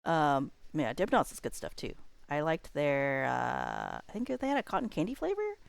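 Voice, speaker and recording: A woman livestream host chatting casually, rambling along and thinking out loud. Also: low-pitched, huge pitch swings, normal speed, vocal fry, clear, resonant tone.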